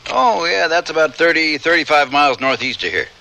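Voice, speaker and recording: An actor playing a nosy, wry frontier reporter with a folksy, glib manner in a radio western. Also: male voice, on the high side, animated, a little fast, vocal fry, pushed and bright, a little hiss or hum, full character voice. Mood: curious, reassuring. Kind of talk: old-time radio western acting.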